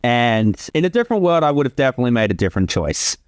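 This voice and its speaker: Guy doing casual commentary, medium pitch, explains stuff, really dry close sound. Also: big pitch swings, fast talker, clear, resonant tone, no echo. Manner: chatty, explanatory. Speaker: commentary host, series creator.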